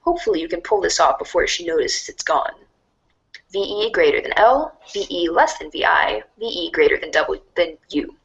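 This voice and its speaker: A woman's voice: fairly low pitch, animated, moderate pace, creaky, highs cut off. A woman narrating a scripted read-along storybook in a wry, theatrical manner.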